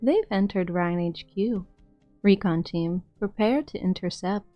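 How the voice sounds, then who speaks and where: A woman's voice: medium pitch, measured pace, no room sound, muffled recording, faint music behind, lightly acted. A woman playing a cool, formal female enemy fighter in a game fan dub. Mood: cool, wry.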